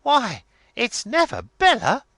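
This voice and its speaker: Irrepressible little sister, really high pitched, bossy storybook drama queen, swoopy. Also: huge pitch swings, slow pace, creaky, pushed and bright, no echo, studio quality, heavily acted. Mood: shrill astonishment. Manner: exclaiming, bawling, melodramatic. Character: irrepressible bossy teenage younger sister, shrill and theatrical.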